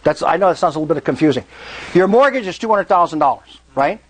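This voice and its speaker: A man's voice: on the high side, lively intonation, fast talker. A man hosting a real estate meetup, explaining to a live audience and often checking in with "right?" and "okay?". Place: meeting room.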